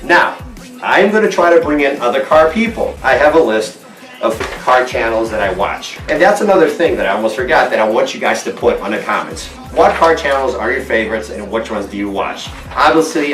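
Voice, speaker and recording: A man's voice: mid-range voice, fairly bright tone, echoey room, far from the mic. A man from Portugal, a car vlogger, talking bluntly and swearing freely in a casual monologue at home in front of a green screen. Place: inside his house, in front of a green screen.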